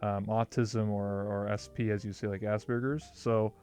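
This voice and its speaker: A man's voice: on the low side, flat delivery, moderate pace, a little mellow. A young man hosting an interview, telling a story casually and rambling, with "like" in nearly every phrase. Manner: rambling storyteller, heavy use of "like". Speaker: interview host. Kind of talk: casual chat.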